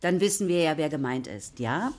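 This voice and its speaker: A woman's voice: deep voice, lively intonation, moderate pace, darkish tone. A woman playing a patient, encouraging primary school teacher in a children's radio drama, prompting her pupils with questions. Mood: patient, instructive. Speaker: actor in a children's radio play. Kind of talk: natural radio drama dialogue.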